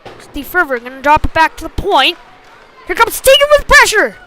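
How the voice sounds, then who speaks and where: A woman calling a youth hockey game live in short, clipped phrases, getting excitable on big plays. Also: extremely high pitch, normal speed, heavy background noise. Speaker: play-by-play hockey commentator. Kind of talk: live sports commentary.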